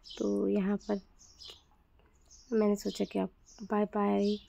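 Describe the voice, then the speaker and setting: A woman's voice: medium pitch, completely flat delivery, slow pace, vocal fry. A woman in her thirties from the Kumaon hills, speaking Hindi with a Kumaoni accent, chatting to viewers on a phone camera held at arm's length outdoors. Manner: chatty, addresses viewers directly. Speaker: vlogger. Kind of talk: casual travel vlog narration. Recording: loud outdoor noise.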